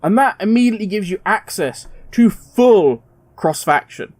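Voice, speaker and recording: A man, a gaming commentator, musing aloud in a casual, conversational way, thinking out loud with fillers. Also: sits high, lively intonation, normal speed, no room sound. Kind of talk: casual speculative monologue.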